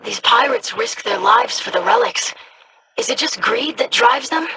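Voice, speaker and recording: An actress voicing a curious, polite young alien scholar in a video game, with precise formal diction. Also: female voice, a little high, expressive, moderate pace, gravelly, very noisy. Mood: inquisitive.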